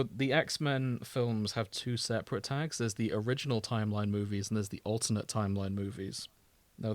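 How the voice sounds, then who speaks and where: A man's voice: lowish voice, no echo. A man co-hosting a podcast in casual, conversational chat. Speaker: podcast co-host.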